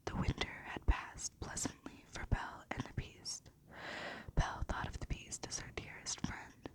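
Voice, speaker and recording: A woman reading a children's storybook in a gentle, hushed ASMR style, dropping into whispers. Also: normal speed. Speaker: ASMR reader.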